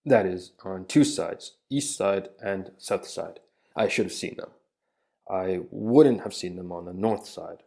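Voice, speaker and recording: A man plays an 1890s witness answering questions in court. His answers are short and polite. The voice sits extremely low, with a dark, mellow tone. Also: a little slow, vocal fry. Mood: steady. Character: plain-spoken 1890s male trial witness. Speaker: witness under cross-examination. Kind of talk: dramatic reading of a trial transcript.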